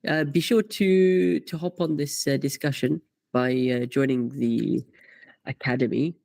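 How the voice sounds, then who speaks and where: A man's voice: mid-range voice, fairly even intonation, unhurried, creaky, darkish tone, no echo. A man hosting a live karting coaching stream, chatty and full of fillers as he reads out comments. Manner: chatty, many fillers and restarts.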